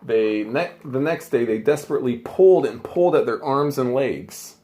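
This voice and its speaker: A man's voice: medium pitch, animated, normal speed, dark tone. A man reading a children's storybook aloud in an informal, chatty way, with casual asides. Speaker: amateur storybook reader.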